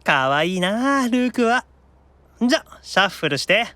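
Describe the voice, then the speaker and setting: A man acting as a sulky, teasing young male demon who grumbles and retorts quickly, in an anime-style audio drama. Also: high-pitched, big pitch swings, bright, forceful tone, no room sound, exaggerated voice. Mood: playfully teasing.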